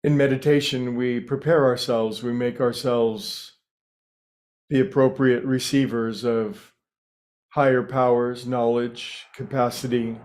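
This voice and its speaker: A man leading a guided meditation, speaking in short, unhurried phrases with pauses between them. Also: mid-range voice, little pitch movement, darkish tone.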